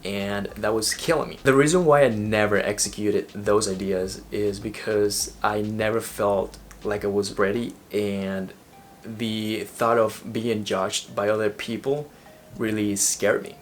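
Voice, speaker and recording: A man's voice: fairly low pitch, fairly even intonation, unhurried, a little hiss or hum. A young man from Ecuador with an Ecuadorian Spanish accent, talking earnestly and motivationally in a personal vlog, now and then restarting a phrase. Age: young adult. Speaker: vlogger.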